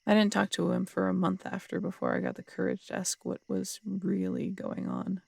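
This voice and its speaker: A woman playing a tough, strong-headed city detective in an intimate audio roleplay, husky and gravelly, telling things in a wry, unhurried, conversational way. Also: mid-range voice, flat delivery, darkish tone, no echo. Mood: reflective. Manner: wry, unhurried storytelling with casual swearing.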